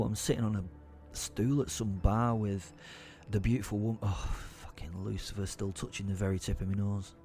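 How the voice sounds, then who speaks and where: Man acting a low, forceful, foul-mouthed werewolf storyteller. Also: lightly acted. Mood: wry, smitten. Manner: theatrical, sweary, rambling and repetitive. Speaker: narrator of an audio drama. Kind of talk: dramatised first-person storytelling. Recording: music under the voice.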